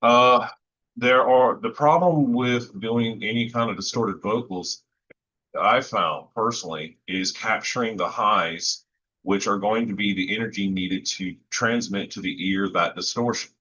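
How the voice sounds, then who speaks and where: Low-voiced man chatting, bright edge. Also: unhurried, highs cut off. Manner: thoughtful, rambling with many fillers and restarts. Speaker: musician discussing vocal recording. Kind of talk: casual podcast chat.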